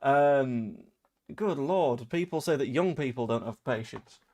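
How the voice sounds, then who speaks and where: Chatty man with softened Yorkshire accent. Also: mid-range voice, expressive, unhurried. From Leeds, England. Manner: chatty, rambling, dry asides. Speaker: fan channel host. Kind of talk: casual live-stream chat reading comments.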